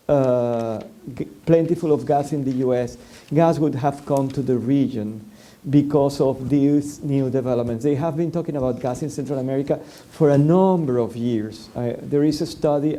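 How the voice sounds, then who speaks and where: A man's voice: fairly high pitch, dark tone. A man from Venezuela speaking on a public forum panel, hesitant, with many fillers and restarts; Spanish is his first language.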